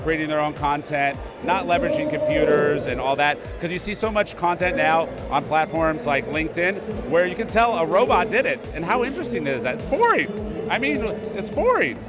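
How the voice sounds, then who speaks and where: A man's voice: on the high side, animated, fairly quick. A man giving a casual event interview, upbeat and emphatic, often repeating his points. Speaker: interview guest. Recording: music playing loudly behind.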